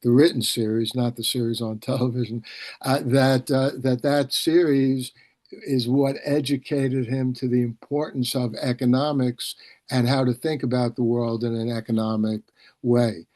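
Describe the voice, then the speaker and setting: A man hosting a casual video-call discussion, explaining things in a halting, discursive way and often repeating words. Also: mid-range voice, level tone, darkish tone, dry recording. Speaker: host, publisher and professor.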